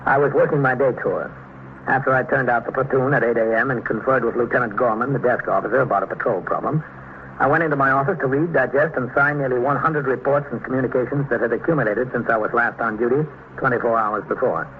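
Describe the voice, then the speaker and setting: A man's voice: mid-range voice, quick pace, lightly acted. An American man playing a weary, commanding New York police captain in a 1950s radio drama. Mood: businesslike. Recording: dull, hissy vintage radio recording.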